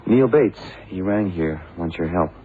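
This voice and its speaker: A man's voice: low voice, lively intonation, measured pace. A man playing a detective's matter-of-fact, plain-spoken colleague in an old crime radio drama. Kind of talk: 1960s-70s radio drama acting. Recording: tinny, hissy old radio broadcast.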